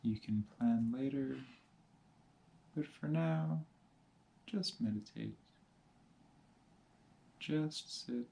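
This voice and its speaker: A man teaching meditation, giving calm, measured instructions in short phrases with pauses between them. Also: mid-range voice, gravelly. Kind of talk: guided meditation, slow spoken instructions.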